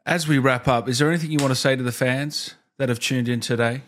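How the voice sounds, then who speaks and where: A man's voice: medium pitch, fairly even intonation, fast, a bit bright, a little hiss or hum. A man in his thirties interviewing a guest in casual chat, on a close studio microphone. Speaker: host, interviewer. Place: small indoor streaming room. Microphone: studio microphone close in front.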